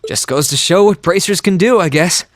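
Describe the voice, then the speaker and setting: An actor playing an earnest, kind teenage hero in an anime game dub, sincere and considerate in manner. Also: male voice, high voice, normal speed, no echo, clean studio sound, close to an everyday voice. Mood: thoughtful.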